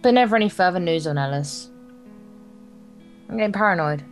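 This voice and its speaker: A woman's voice: on the low side, expressive, brisk, faint music behind. A woman reading a visual novel aloud for a gameplay video, in a playful, theatrical way with crude joking asides.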